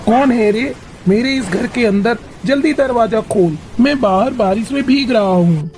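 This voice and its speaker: A woman voicing a bossy but soft-hearted male crow in a children's moral-story cartoon. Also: a little high, unhurried, a little hiss or hum, lightly acted. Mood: demanding. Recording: music clearly behind the voice.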